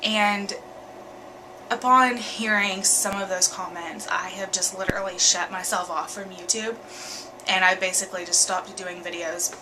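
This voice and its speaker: A woman's voice: mid-range voice, fairly even intonation, noisy background. Sniffly young woman with a cold. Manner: earnest, frequent ums and pauses. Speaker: vlogger. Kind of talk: candid casual vlog. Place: bedroom at night.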